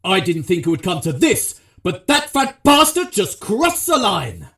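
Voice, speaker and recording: A man's voice: sits high, huge pitch swings, a little slow, pushed and bright, full character voice. A man playing an indignant, defiant, foul-mouthed guest in an audio drama. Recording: echoey room.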